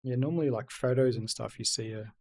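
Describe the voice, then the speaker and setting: A man's voice: mid-range voice, brisk, darkish tone. A man streaming a video game, chatting casually with viewers and reading their messages aloud. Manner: chatty, reads and answers chat aloud. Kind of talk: casual let's-play commentary.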